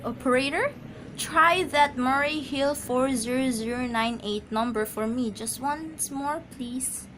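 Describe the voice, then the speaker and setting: A woman's voice: high-pitched, measured pace, a bit bright, a little hiss or hum, poor audio. A woman acting a nervous, demanding invalid wife in an amateur radio drama, complaining imperiously and emotionally. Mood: anxious, pleading.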